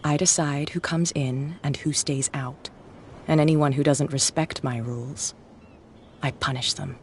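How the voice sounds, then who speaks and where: A woman's voice: sits low, fairly even intonation, unhurried, a little mellow, slight background noise. A woman voicing a ruthless bootlegger gang boss in a video game, cold, mocking and threatening. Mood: menacing.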